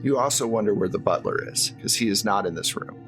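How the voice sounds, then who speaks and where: A man's voice: lowish voice, quick pace, fairly bright tone. A man narrating a tabletop role-playing game as game master, in a conversational, descriptive storytelling manner. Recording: background music under the voice.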